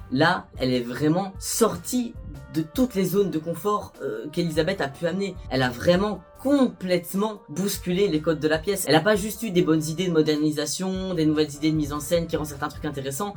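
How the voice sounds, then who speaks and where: Chatty young man reviewing a musical. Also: a little high. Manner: chatty, animated, self-mocking. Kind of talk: casual spoken review. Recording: soft background music.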